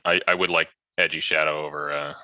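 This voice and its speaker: A man's voice: extremely low pitch, little pitch movement, a little fast, sharp tone, no room sound. A man co-hosting a casual chat, tossing out sardonic jokes among friends. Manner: sardonic, joking. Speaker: podcast co-host. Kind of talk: casual banter.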